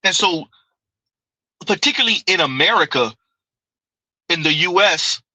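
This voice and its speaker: A man's voice: high voice, lively intonation, measured pace, pushed and bright, no room sound, poor audio. A man hosting a live stream, reading viewer comments aloud and answering them in loose, conversational commentary that keeps circling back with "right?" and frequent laughter.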